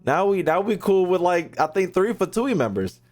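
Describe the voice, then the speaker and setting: A man hosting a game playthrough, reacting in casual, slangy, profane speech and low-key interjections. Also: very animated, moderate pace, a little mellow. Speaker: gaming video host. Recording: game music behind the voice.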